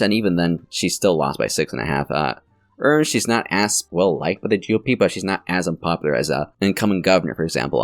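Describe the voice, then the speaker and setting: A man's voice: low voice, no room sound. A man hosting a casual election prediction channel, rambling and correcting himself as he talks, his voice a little strained and sore. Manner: rambling, self-correcting, with frequent fillers. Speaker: political channel host.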